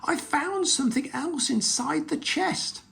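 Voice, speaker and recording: A reader doing a high, urgent female pirate. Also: expressive, measured pace, gravelly, fairly bright tone, full character voice. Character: quick-witted female pirate. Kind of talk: storybook read-aloud.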